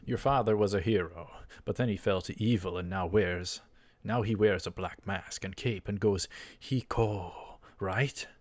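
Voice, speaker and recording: A man's voice: low voice, unhurried, no echo. A man voicing a nosy, theatrical young man while reading a visual novel.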